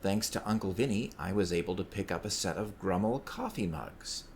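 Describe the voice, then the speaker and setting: Male podcast contributor, slightly low pitch, scripted report read aloud, noisy background. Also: lively intonation, normal speed. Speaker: podcast listener sending in a report. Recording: clear background noise.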